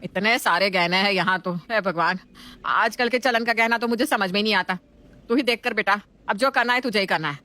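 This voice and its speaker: A woman plays a middle-aged mother in a domestic story, fussy and doting. She takes charge of the household in an exclamatory, motherly way, at an ordinary mid pitch. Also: a little fast, sharp tone, lightly acted. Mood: fretful. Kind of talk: scripted family story acting.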